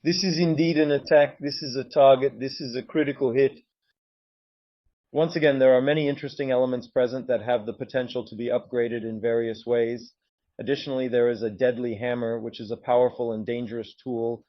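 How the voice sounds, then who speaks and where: A man's voice: mid-range voice, fairly even intonation, brisk, no room sound, highs cut off. A man presenting a game's screens in a walkthrough, sometimes hesitant as he searches. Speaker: game presenter.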